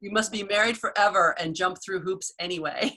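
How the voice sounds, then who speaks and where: A woman's voice: mid-range voice, a little fast, rough recording. A woman from the United States hosting a casual video call chat, telling stories with frequent laughter. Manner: chatty storyteller, warm laughs. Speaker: host.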